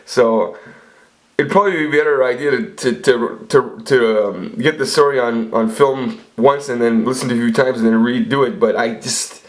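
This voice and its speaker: A man in his forties telling crude, rambling stories in a casual monologue, swearing often and restarting his sentences. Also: medium pitch. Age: 40s. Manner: crude, profane, rambling storytelling with frequent restarts. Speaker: vlogger.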